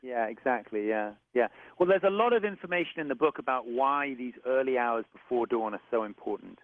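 Soft-spoken British man on radio. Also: mid-range voice, lively intonation, a little fast, no echo. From England. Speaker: author guest. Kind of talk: radio interview. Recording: phone-like radio audio.

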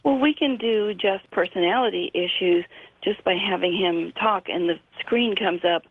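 A woman in her sixties called in as a guest, explaining her work in a measured way with frequent ums. Her voice comes through a thin, narrow phone line with a dry, close sound. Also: medium pitch, no echo. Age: 60s. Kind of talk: radio interview by phone.

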